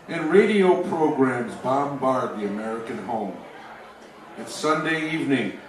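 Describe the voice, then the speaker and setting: Echoey theatrical male radio-show announcer. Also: a little high. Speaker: host and announcer of a live show. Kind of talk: theatrical old-time radio announcing and scripted narration. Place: live stage show, picked up from afar. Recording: echoey stage, distant mic, music behind.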